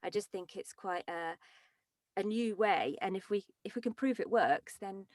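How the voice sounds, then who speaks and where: Woman chatting casually, dry mid-pitched voice. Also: normal speed, a little mellow, dry recording. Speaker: rehearsal organiser. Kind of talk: casual group discussion. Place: indoors, video call.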